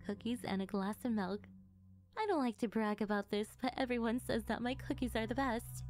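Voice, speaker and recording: A woman playing a sweet, doting middle-aged mother who is a calmly menacing kidnapper, speaking in a sugary, unhurried ASMR roleplay style. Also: fairly high pitch, faint music behind, natural voice. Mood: sweet, chatty. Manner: sugary, unhurried, calm about disturbing things.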